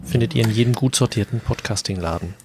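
Gravelly man in casual podcast talk. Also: fairly low pitch, fast talker, background noise. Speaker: podcast co-host.

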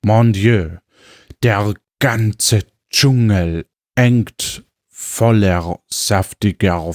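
A man's voice: on the low side, a little mellow, no echo, clean studio sound. A man playing a genteel, food-loving gourmet cannibal who reads his diary in an affected, savouring way with French phrases, as in an audio drama. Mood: affected, exclaiming.

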